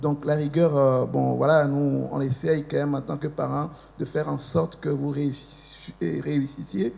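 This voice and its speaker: Dad talking to the kids at school, formal, halting, kinda high pitch, dark tone. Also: male voice, level tone, creaky. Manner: formal, halting, addresses the children. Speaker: parent and head of an association.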